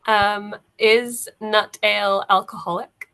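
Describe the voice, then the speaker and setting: A woman improvising narration as game master of a tabletop game, in a halting, conversational way. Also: medium pitch, fairly even intonation, vocal fry, a bit bright, clean tone, low-quality recording.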